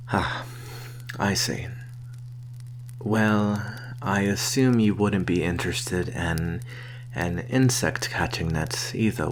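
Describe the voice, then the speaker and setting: Slow, low-voiced sly vampire merchant, played by a man. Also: background noise. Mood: coaxing. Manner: soft-spoken, coaxing, deliberate with sighs and soft chuckles. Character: sly male vampire posing as traveling merchant. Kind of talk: ASMR roleplay.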